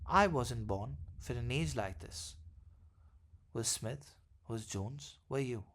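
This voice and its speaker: A man reading a literary essay aloud in a measured, formal way, with the occasional stumble. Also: mid-range voice, a bit bright. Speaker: essay reader.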